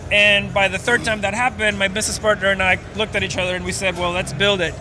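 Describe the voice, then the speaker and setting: A man's voice: high voice, flat delivery, fast, music in the background, poor audio. A man from Venezuela, a startup co-founder, explaining at length in a casual interview with many fillers. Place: outdoors at a busy conference.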